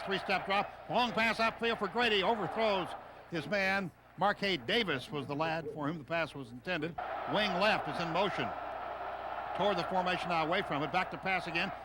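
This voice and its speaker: A man doing live radio play-by-play of a football game, calling plays in short, excitable phrases. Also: high-pitched. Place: stadium broadcast booth. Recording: noisy stadium radio broadcast tape. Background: loud stadium ambience.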